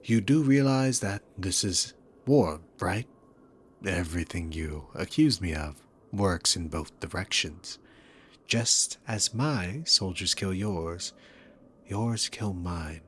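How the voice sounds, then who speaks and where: Demon king villain, played by a man: very low register, unhurried, teasing and dominant, sarcastic ASMR roleplay delivery. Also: fairly bright tone, slightly rough, heavily acted. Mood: sardonic, argumentative. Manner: smug, teasing, drawn-out theatrical phrasing. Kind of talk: scripted ASMR roleplay addressed to the listener.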